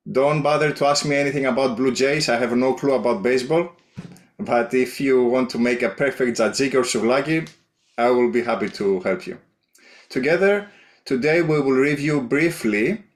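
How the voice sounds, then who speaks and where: A man with a Greek accent gives a friendly, jokey introduction at a hospital talk. His voice sits at a middle pitch, and he talks like a non-native speaker of English. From Greece. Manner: jokey, light humor. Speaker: presenter. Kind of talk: friendly self-introduction at medical rounds.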